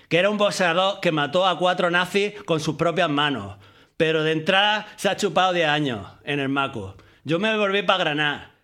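A boastful, worn-out junkie musician, played by a man rambles through his story. The voice is bright and sits a little high.

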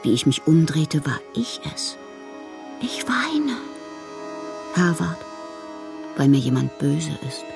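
A woman's voice: sits low, big pitch swings, slow pace, pauses often, over music, exaggerated voice. An actress playing a whimsical, lovelorn, melancholy woman poet performing her letters in a radio drama, theatrical and given to whispering. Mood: sad. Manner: theatrical, swinging between breathy whispers and exclamations.

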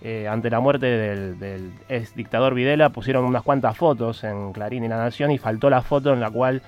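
A man's voice: medium pitch, normal speed, soft background music. A man with an Argentine Spanish accent giving political commentary as a radio panelist, in a dull lo-fi recording.

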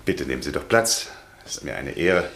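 An actor playing a shy, pedantic history professor in a radio play, with a precise, lecturing and easily flustered manner. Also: male voice, very deep voice, expressive, a little fast, gravelly, fairly bright tone, a little hiss or hum, full character voice. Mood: polite, resigned. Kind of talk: radio drama acting.

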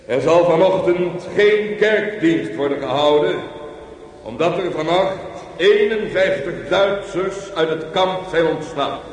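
A man's voice: on the high side, slight background noise. An actor playing a solemn vicar making a formal announcement to his congregation in a radio play. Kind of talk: radio drama acting. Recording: echoey church from far off.

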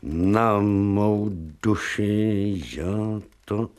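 An actor playing an authoritative, brooding and eccentric professor-surgeon in a radio drama, with a commanding, theatrical manner. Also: male voice, on the low side, steady tone, darkish tone, smooth, close to an everyday voice. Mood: brooding, hesitant.

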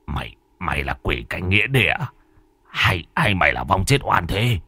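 A foul-mouthed, hot-headed exorcist barks curses in a low, rough voice, as a male narrator puts him on. His temper flares at every turn. The recording is close and dry. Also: big pitch swings, moderate pace, pushed and bright, no echo, heavily acted. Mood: menacing. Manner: cursing, gruff.

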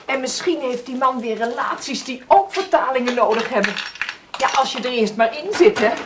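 A woman's voice: high voice, normal speed, noisy background, close to an everyday voice. An actress playing a flustered, eager single mother who is hard up, scatterbrained and easily agitated, in a naturalistic radio play. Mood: bustling. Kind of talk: naturalistic radio drama acting.

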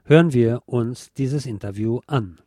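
A man's voice: mid-range voice, a little slow, background noise. A man hosting a radio show, presenting and reading a scripted monologue.

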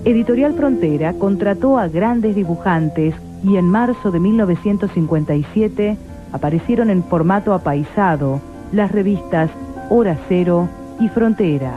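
A woman's voice: medium pitch, lively intonation, moderate pace. A woman reads scripted documentary narration. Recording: thin phone-like sound, loud music behind. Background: music.

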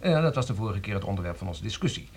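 An actor playing an educated man who anxiously defends his friend, hesitant but persuasive, with a husky voice, in a radio drama. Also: fairly low pitch, very animated, racing, exaggerated voice. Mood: pleading, insistent. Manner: hesitant, explanatory, pleading. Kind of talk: Dutch radio drama acting.

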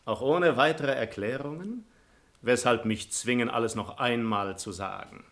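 An actor playing a glib, ardent noble seducer in a theatrical 1950s German radio drama. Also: male voice, mid-range voice, unhurried, fairly bright tone. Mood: evasive, glib. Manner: theatrical, wooing, flowery speech.

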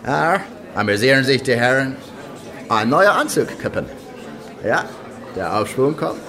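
An actor playing a patronizing postwar visitor, jovial with a barbed edge, in a radio drama. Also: male voice, medium pitch, sing-song, unhurried, pushed and bright, exaggerated voice. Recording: noisy party crowd behind.

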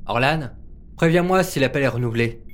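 A man's voice: medium pitch, animated, a little fast, close to an everyday voice. A man acting a laid-back starship commander in a French sci-fi audio drama, casual and offhand.

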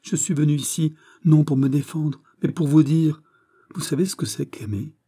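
A man's voice: on the high side, fairly even intonation, moderate pace, warm tone, husky, voice pushed up. Man voicing an impassioned, pleading young woman. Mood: fervent pleading. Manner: impassioned, imploring, breathless exclamations. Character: anguished, guilt-ridden young woman in love, pleading. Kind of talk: dramatic storybook reading.

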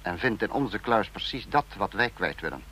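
An actor playing a scheming intelligence chief in a radio play. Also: male voice, on the low side, expressive, normal speed, pushed and bright. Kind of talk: radio drama dialogue.

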